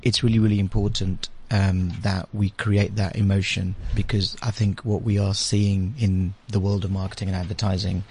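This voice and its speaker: Male British interview guest, deep raspy voice, fairly flat delivery. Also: normal speed, few pauses. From UK, born in India, grew up in London. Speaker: interview guest, advertising agency managing director. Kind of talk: conversational explanation. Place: radio studio.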